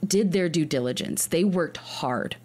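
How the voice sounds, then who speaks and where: A woman's voice: lowish voice, animated, unhurried, a little mellow. A woman hosting a true crime podcast, telling a case in an earnest way, often restarting her sentences. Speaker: podcast host.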